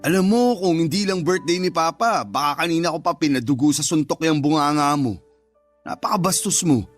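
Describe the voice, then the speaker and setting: An actor playing a firm but considerate older brother in a radio drama. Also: male voice, fairly high pitch, brisk, faint music behind, lightly acted. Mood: stern, scolding. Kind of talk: Tagalog radio drama acting.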